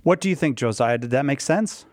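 A man's voice: mid-range voice, lively intonation, brisk, darkish tone. Friendly male radio host. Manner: friendly, stumbling restarts. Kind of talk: call-in radio hosting.

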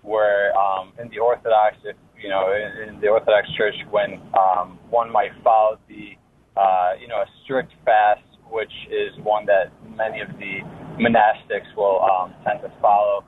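A man's voice: lowish voice, level tone, a little hiss or hum. Hesitant male caller on a phone line. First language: Greek. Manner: halting, many fillers and restarts. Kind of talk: phone-in call.

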